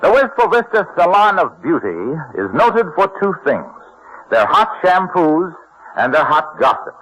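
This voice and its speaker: A man's voice: on the high side, big pitch swings, a little slow, fairly bright tone, soft background music, poor audio. A man working as a radio announcer, reading scripted introductions and advertising copy in a polished, salesmanlike old-time style.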